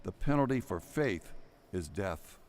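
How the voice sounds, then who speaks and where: A man's voice: deep voice, sing-song, unhurried, a little mellow, a little hiss or hum. A man reading scripted narration for an audio drama in a dramatic storytelling style.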